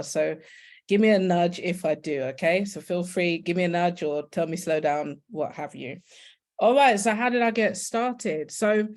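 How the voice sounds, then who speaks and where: A woman's voice: medium pitch, a little mellow. A British woman teaching as a guest, chatting informally about her own story and often addressing her listeners directly. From United Kingdom. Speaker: guest e-commerce educator. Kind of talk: informal personal storytelling to an online audience.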